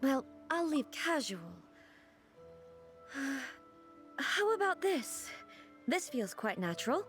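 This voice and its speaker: An ageless goddess ruler from a video game. The voice is on the high side, formal, earnest and measured, with music playing behind it. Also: female voice, very animated, a bit bright, exaggerated voice. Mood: hesitant, self-conscious. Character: solemn ageless female ruler deity, formal, sheltered, earnest. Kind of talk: video game character dialogue.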